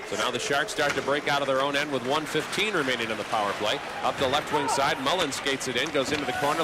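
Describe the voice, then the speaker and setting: A man doing live ice hockey play-by-play as the announcer, excitable on big plays. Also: medium pitch, heavy background noise.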